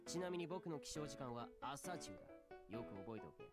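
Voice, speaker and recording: An actor playing a haughty, sharp-tongued young recluse in a Japanese visual novel, declaiming in a pompous, theatrical way. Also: male voice, mid-range voice, big pitch swings, very fast, close to an everyday voice. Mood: curt, haughty. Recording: game music behind the voice.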